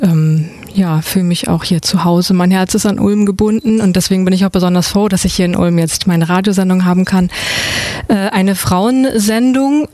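A woman's voice: on the low side, darkish tone, dry recording. A middle-aged woman from Brandenburg in Germany, talking casually and a little hesitantly as a radio studio guest. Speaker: studio guest, new radio show host. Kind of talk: casual radio interview talk. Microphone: close studio microphone.

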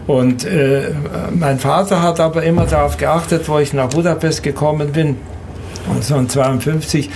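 Elderly man recalling his life, halting delivery. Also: on the high side, steady tone, a little mellow, slight background noise. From Danube Swabian from Serbia and Hungary. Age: 70s or older. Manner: halting, hesitant, repeats words, often ends with a questioning tag. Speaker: interviewee. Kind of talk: recounting life memories in an interview.

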